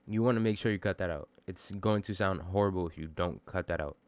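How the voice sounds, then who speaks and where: A man with a low, bassy voice walks through a lesson in a casual way. His speech is clean and smooth, full of slang and the odd swear word, with a modest, self-deprecating streak. The sound is very dry and close. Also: fast, no echo. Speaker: music producer giving a vocal mixing tutorial. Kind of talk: casual tutorial talk.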